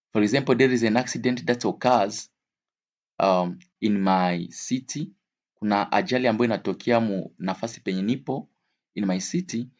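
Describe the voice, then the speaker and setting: A man's voice: on the low side, darkish tone, highs cut off. A man teaching an English vocabulary lesson in a didactic way, repeating key words and switching between languages.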